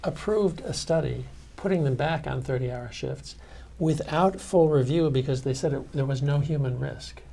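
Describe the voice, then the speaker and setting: A man's voice: mid-range voice, moderate pace, vocal fry, mellow. A man speaking on a panel discussion as a researcher, earnest and citing study findings. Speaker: sleep researcher.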